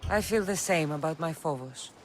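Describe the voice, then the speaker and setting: A woman's voice: deep voice, normal speed, slight background noise, lightly acted, rough recording. An actress playing a bold, self-assured female mercenary of ancient Greece in a video game, direct and confident. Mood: wry, sympathetic. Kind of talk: dramatic video game dialogue.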